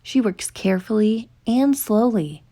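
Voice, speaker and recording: A woman reading a children's picture book aloud in an expressive, deliberate storytelling manner, stretching words for emphasis. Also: fairly high pitch, creaky. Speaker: storytime reader.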